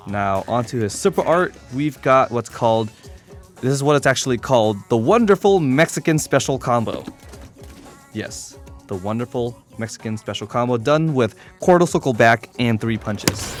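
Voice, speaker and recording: A man giving an unscripted fighting game tutorial in a casual, explanatory and somewhat hesitant way. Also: medium pitch. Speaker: competitive gamer demonstrating a character.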